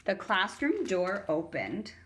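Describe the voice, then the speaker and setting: A woman's voice: mid-range voice, sing-song, slow pace, fairly bright tone. A woman reading a children's story aloud as a teacher would, narrating expressively for young listeners.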